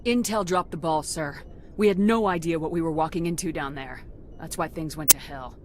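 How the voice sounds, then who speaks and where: Woman playing a low-voiced, tough female commander. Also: normal speed, noisy background, natural voice. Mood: firm, sober. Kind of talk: cinematic video game acting.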